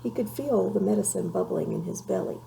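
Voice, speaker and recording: Female storybook reader: lively, mellow, heavy vocal fry, measured dramatic delivery, slight background hum. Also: mid-range voice, rough recording. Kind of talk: expressive children's storybook narration.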